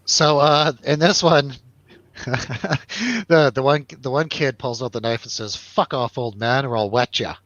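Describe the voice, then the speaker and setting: A man's voice: mid-range voice, measured pace, fairly bright tone, dry recording, dull audio. A man chatting casually and jokily as host of a film review show, in informal, slangy talk. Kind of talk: casual chat, film review over drinks.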